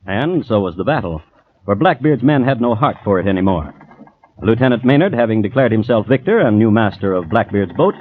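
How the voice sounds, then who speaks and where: Actor as a dry, measured radio storyteller. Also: male voice, lowish voice, animated, close to an everyday voice. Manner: measured, dryly ironic. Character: dry, storytelling male crime historian. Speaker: host and narrator. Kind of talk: 1950s radio scripted narration. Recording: dull old radio recording, faint noise.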